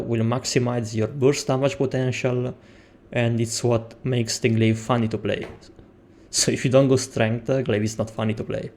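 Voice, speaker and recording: Young Italian man, non-native English, warm low-key voice, walks through a game tutorial with frequent pauses. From Italy. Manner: explains step by step with frequent hesitations. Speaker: game guide creator. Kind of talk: gameplay tutorial.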